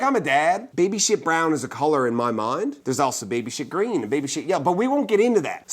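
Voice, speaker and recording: Man, higher-pitched, very lively intonation, casual storyteller, joking, conversational host. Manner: joking, self-interrupting, conversational. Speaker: real estate agent and show host.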